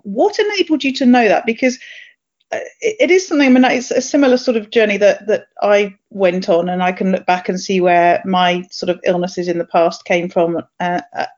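A woman's voice: mid-range voice, moderate pace, a little mellow, muffled recording. A woman hosting a podcast interview, curious and chatty, asking questions with some fillers. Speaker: podcast host.

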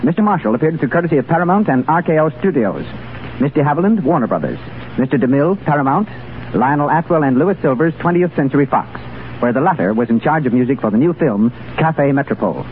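Lively male 1930s radio announcer. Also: a little high, quick pace. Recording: tinny, hissy 1930s radio broadcast.

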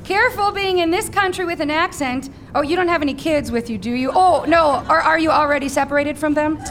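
A woman's voice: high voice, fairly quick, background noise, natural voice. An actress playing a sarcastic, foul-mouthed, exasperated woman on a road trip in a comedy audio drama.

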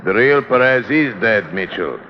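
An actor playing a cold-blooded smuggling boss, suave and mocking, in 1950s drama style. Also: male voice, medium pitch, animated, moderate pace, natural voice. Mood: smug. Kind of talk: 1950s television drama acting. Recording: muffled, noisy vintage drama soundtrack.

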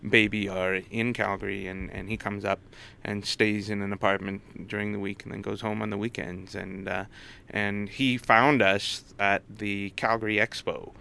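Casting: male interview guest, low creaky voice, halting conversational delivery, slight background noise. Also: flat delivery, bright, forceful tone. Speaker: interview guest, head of a digital arts college.

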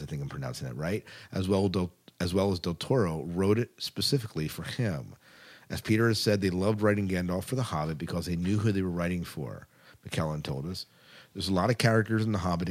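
A man's voice: low voice, darkish tone. An American man hosting a podcast, reading news items and chatting casually between them. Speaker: podcast host. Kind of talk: podcast news segment, partly read, partly casual chat.

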